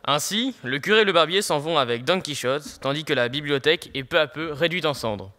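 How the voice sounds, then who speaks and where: A man's voice: on the high side, expressive, fairly quick, bright, forceful tone. A teenage man reading scripted narration for a student audio drama. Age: teenager, high-school student.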